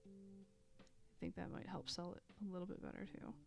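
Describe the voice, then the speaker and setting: A woman chatting casually while she draws on a live stream, thinking aloud with fillers and little laughs, a bit hoarse as she loses her voice. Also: fairly low pitch, normal speed, soft background music. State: losing her voice.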